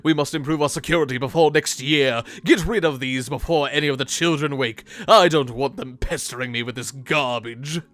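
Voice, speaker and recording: A man's voice: mid-range voice, normal speed, few pauses, fairly bright tone, no echo, close to an everyday voice. Male performer as aristocratic demon dad, pompous, disdainful, commanding, formal, comic fan dub. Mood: indignant, ordering.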